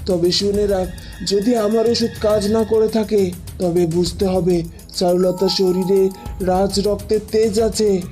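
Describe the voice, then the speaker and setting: Female actor for villainous fairy-tale sorceress: middle register, deliberate, commanding, menacing. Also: medium pitch, fairly even intonation, warm tone, soft background music, close to an everyday voice. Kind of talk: Bengali cartoon fairy-tale voice acting.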